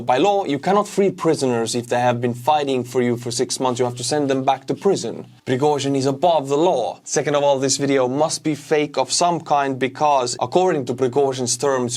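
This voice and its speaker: A man's voice: mid-range voice, normal speed, hardly any pauses, darkish tone. A man from Estonia gives casual, opinionated war news commentary as a show host, speaking bluntly and emphatically.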